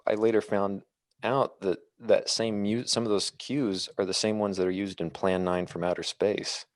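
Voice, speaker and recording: A man chatting casually about films on a podcast in a measured, reflective way. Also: on the low side, fairly even intonation, no room sound. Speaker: podcast co-host. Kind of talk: casual film discussion.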